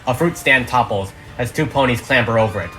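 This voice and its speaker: Bright-voiced dramatic script narrator over music. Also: mid-range voice, fairly quick. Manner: dramatic, reads stage directions.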